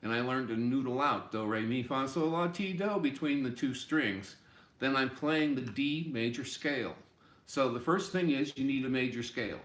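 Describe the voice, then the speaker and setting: A man in his sixties giving an informal music lesson, explaining deliberately and step by step in a small room. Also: mid-range voice, expressive, a bit bright. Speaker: music teacher. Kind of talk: informal instructional explanation at a whiteboard. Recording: roomy, echoey home room sound.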